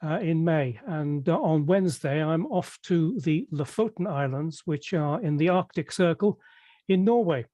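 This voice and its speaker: Older english guy from merseyside chatting in an interview, lots of uhs and restarts, mellow tone. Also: fairly high pitch, animated, no room sound. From Merseyside, England. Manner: measured, hesitant with many fillers and restarts. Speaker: interview guest. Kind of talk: conversational interview.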